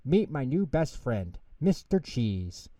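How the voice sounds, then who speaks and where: Brief: man as small sulky mouse, bedtime tale; huffy, dramatic, very expressive, slightly off-mic. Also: mid-range voice, slow pace, dry recording, studio quality, recorded from a distance, pitched above the performer's own voice. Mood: huffy, boastful.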